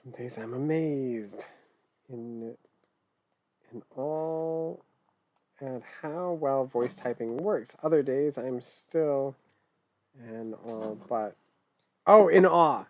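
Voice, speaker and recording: A man in his thirties chatting at home while playing an online board game, wordy and quick with dry, teasing jokes. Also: mid-range voice, no echo. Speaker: streamer co-host. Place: home room, indoors.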